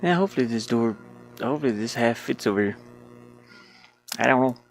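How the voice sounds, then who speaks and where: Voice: medium pitch, expressive, brisk, soft background music. A game developer talking casually to themselves while they work, muttering with playful asides. The speaker's voice could be a man's or a woman's.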